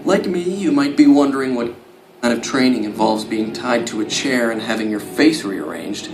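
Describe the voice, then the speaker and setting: A man's voice: mid-range voice, background noise, natural voice. A man playing a nervy young office worker turned cocky supervillain trainee in a motion comic. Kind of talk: motion comic acting and first-person narration. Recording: roomy sound over clear music.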